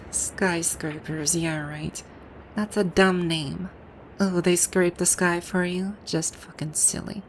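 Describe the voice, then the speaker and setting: A woman's voice: lowish voice, steady tone, slow, a little hiss or hum. A woman acting a haughty, bewildered video-game villainess in an ASMR roleplay, with pompous, commanding, old-fashioned phrasing. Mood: wary, curious.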